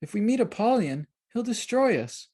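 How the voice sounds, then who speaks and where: Storybook boy pilgrim played by an adult narrator: high, timid, plaintive, swooping pitch. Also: sing-song, moderate pace, mellow, husky. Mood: worried. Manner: anxious, plaintive.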